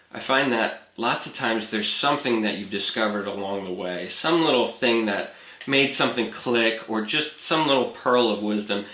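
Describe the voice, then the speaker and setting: A man hosting a music interview series, asking questions and chiming in with short affirmations. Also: on the low side. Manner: relaxed, affirming listener. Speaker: interview host. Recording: echoey room.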